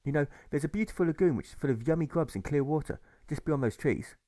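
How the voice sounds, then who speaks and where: A man voicing a smooth-talking, scheming owl in an audiobook fable, persuasive and gloating. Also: fairly high pitch, animated, fast, warm tone, dry recording, voice pushed up, close to an everyday voice. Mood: coaxing.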